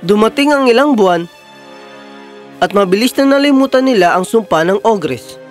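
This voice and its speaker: A man's voice: sits high, expressive, normal speed, faint music behind. A man narrating a scripted fairy tale as storyteller.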